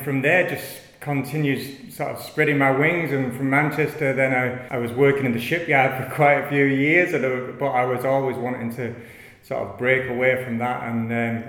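A man with a northern English accent, a guest telling his life story in a halting, rambling way with many restarts. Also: mid-range voice, fairly bright tone. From north of England. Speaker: yoga studio owner, guest. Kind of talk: casual interview, rambling storytelling. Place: yoga studio. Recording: echoey studio room, long reverb.

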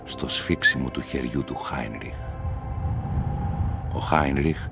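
A man's voice: extremely low pitch, creaky. A man reading scripted literary narration as the storyteller of a radio play. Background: music.

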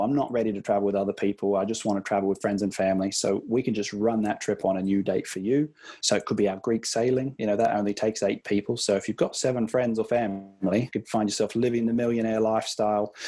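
Husky low-voiced man. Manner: relaxed, conversational, many fillers like "you know". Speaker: tour company guest. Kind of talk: casual interview chat.

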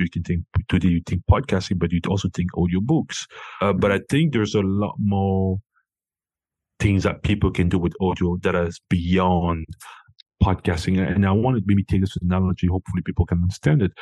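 Very low, flat-voiced man. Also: no echo, low-quality recording. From Cameroon. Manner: hesitant, many restarts and fillers. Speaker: founder and CEO, guest. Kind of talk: casual interview talk.

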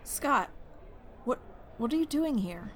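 A woman's voice: high voice, expressive, takes it slowly, exaggerated voice. A woman playing a hesitant laboratory acquaintance in a naturalistic sci-fi audio drama. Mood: polite surprise.

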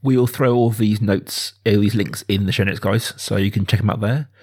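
A man's voice: fairly low pitch, steady tone, a little fast, darkish tone, slightly rough. Chatty man hosting a film podcast. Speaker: podcast host. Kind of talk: casual film discussion.

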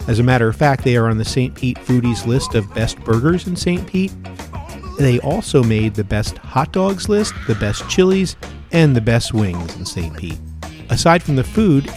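A man hosting a local food show, reading upbeat promotional segments about restaurants. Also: mid-range voice. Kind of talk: scripted food-segment narration and interview.

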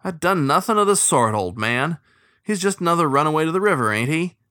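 A man's voice: on the high side, expressive, fairly quick, pushed and bright, no echo, clean studio sound, pitched above the performer's own voice. A man voicing a laid-back male otter who captains a riverboat, with an easygoing, lazy way of talking.

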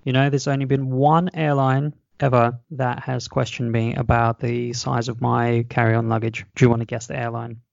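Gravelly-voiced man, chatty podcast guest. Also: mid-range voice, level tone, normal speed, few pauses, no room sound. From Russia. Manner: chatty, enthusiastic, explains at length. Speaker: podcast guest, travel blogger. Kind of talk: casual chat over a video call. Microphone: video call microphone. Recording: dull, lo-fi call audio.

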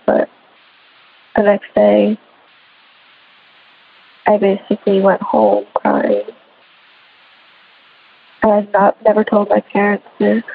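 Woman, husky and slow, level delivery, hesitant testimony, phone-like sound. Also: mid-range voice. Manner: hesitant, subdued. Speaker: testimony speaker. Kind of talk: halting personal testimony. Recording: tinny phone-like recording.

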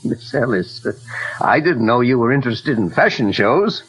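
A genial, husky old investigator. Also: male voice, medium pitch, animated, moderate pace, fairly bright tone, low-quality recording. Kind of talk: 1940s radio acting. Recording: rough vintage 1940s radio recording.

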